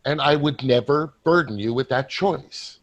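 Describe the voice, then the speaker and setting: A man playing the ghost of a desperate salesman in a staged play reading, speaking earnestly and haltingly with a husky voice. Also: mid-range voice, lo-fi sound, natural voice. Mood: grim, confessional. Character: desperate, pleading male ghost of a dead salesman.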